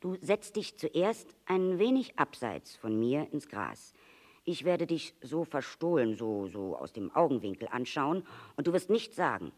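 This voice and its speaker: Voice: medium pitch, sing-song, a little slow. A storyteller voicing an earnest, wistful fox who longs to be tamed, speaking in an emphatic, pleading, explanatory way. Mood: patient, instructing. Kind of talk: storybook character reading.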